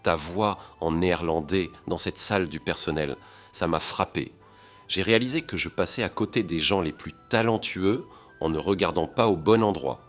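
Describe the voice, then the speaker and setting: Deep-voiced hotel magnate, calm and sincere, intimate delivery, read by a male narrator. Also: moderate pace, close to an everyday voice. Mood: earnest. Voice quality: deep, warm. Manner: low and intimate.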